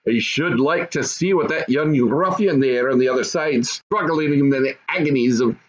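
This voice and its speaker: A man's voice: medium pitch, moderate pace, highs cut off, natural voice. A man voicing a gloating, bossy goblin father and husband, emphatic and knowing, in a storybook reading. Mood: commanding.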